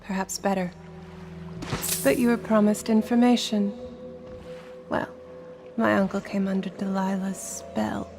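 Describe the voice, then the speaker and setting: An actress playing a refined young aristocratic woman who tells a dark story in a game. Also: mid-range voice, steady tone, unhurried, long pauses, lightly acted. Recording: game music behind.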